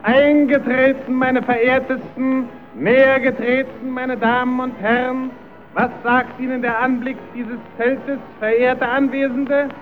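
An actor playing a grandiose, wheedling fairground barker in an old radio play, declaiming pompously. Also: male voice, very high voice. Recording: tinny old radio recording with crowd noise.

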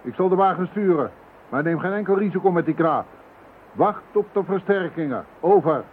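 An actor playing a commanding, cautious police officer in a Dutch radio drama, giving orders in an authoritative manner. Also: male voice, sits high, lively intonation. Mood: stern, commanding. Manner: brisk, authoritative. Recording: muffled narrow-band phone-like audio, noisy.

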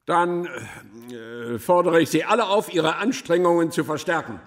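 An actor playing a stern, pompous police chief, speaking formally and officiously in a radio drama. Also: male voice, on the high side, moderate pace, close to an everyday voice. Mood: stern, indignant. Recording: long hall reverb.

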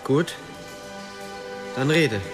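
Young male fantasy hero: earnest, doubting, mid-pitched, music behind. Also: drawn-out words. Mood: doubting, wry. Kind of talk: radio play acting. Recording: music under the voice.

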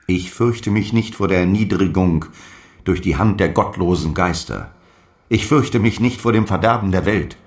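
An actor playing a zealous apostle in a radio drama, declaiming solemn, archaic prophecies and defiant last letters. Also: male voice, sits low. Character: fiery apostle dictating zealous letters, defiant before his death.

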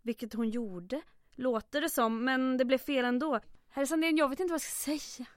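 A woman playing a polite, eager, quick-witted young secretary in an old-time radio drama. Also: sits high, no echo, studio quality, lightly acted. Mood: concerned, apologetic. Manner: eager, polite, cheerful with sly wit.

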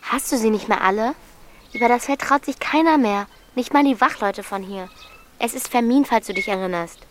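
A woman's voice: fairly high pitch, normal speed, a little hiss or hum, close to an everyday voice. A woman playing a bold, streetwise thirteen-year-old girl with a blunt, commanding manner in a radio play. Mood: brash. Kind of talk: German radio play acting.

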